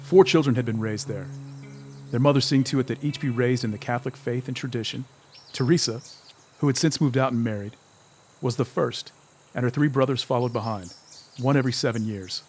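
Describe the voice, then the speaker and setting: A man's voice: medium pitch, a little fast, a little mellow, a little hiss or hum. A man reading scripted, literary storytelling narration for an audio drama.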